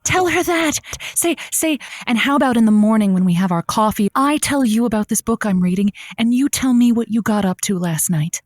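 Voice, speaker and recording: A woman's voice: fairly high pitch, animated, fairly quick, few pauses, no echo, clean studio sound. A woman playing a sympathetic, flirty late-night radio advice host who soothes and coaxes her callers with pet names in a loose improvised style. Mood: coaxing, advising. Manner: soothing, empathetic, coaxing, uses pet names. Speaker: radio call-in show host. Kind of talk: improvised comedic advice-show hosting.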